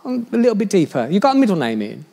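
A pastor preaches in a relaxed, jokey storytelling voice. His voice sits high for a man, and he talks straight to his congregation. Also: fast, gravelly. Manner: chatty, jokey storytelling, addressing the church directly. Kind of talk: sermon.

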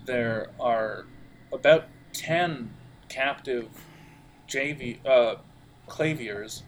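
A man narrating an audio role-playing game as game master, halting as he thinks aloud. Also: medium pitch, a little hiss or hum.

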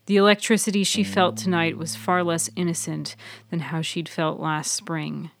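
Low-voiced woman reading scripted narration. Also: flat delivery, moderate pace. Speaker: writer and narrator of a fiction podcast.

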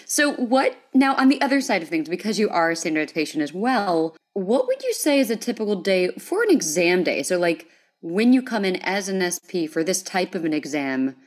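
Chatty woman interviewer, lowish voice. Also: big pitch swings, a little fast. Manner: affirming, chatty. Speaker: podcast host. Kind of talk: conversational interview.

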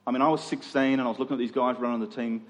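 A man's voice: medium pitch, fairly even intonation, rapid-fire. An Australian man giving a sermon in an earnest, conversational way, repeating phrases for emphasis. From Queensland, Australia. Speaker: preacher. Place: large room, preaching to a congregation. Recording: echoey hall with long reverb.

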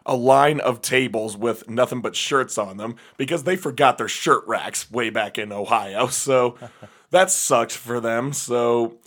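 A young man with a slightly raspy voice chatting casually as a podcast host, laughing often and rambling through fillers. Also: medium pitch, a little slow, fairly bright tone. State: slightly raspy voice after a gig. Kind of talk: casual chat.

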